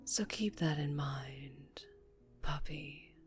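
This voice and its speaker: A woman playing a dangerously charming female mafia boss in an ASMR roleplay, teasing and deliberate, often slipping into whispers. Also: low-pitched, soft background music. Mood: soft threat.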